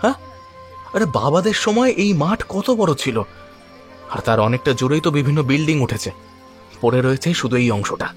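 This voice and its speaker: A man's voice: a little high, animated, moderate pace, long pauses, faint music behind, lightly acted. An actor playing a frustrated, defiant young neighbourhood man with a heated, colloquial manner in an audio drama. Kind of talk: Bengali audio drama acting.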